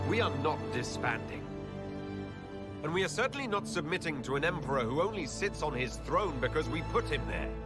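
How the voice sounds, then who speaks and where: An actor playing a resolute, commanding human male leader in a dramatic video game cutscene, speaking in a measured, firm way. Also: a little high. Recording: loud orchestral music behind.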